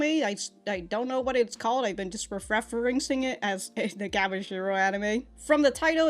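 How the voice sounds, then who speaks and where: A woman giving casual, slangy reaction commentary as the host of a video, in an animated, informal way. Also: mid-range voice.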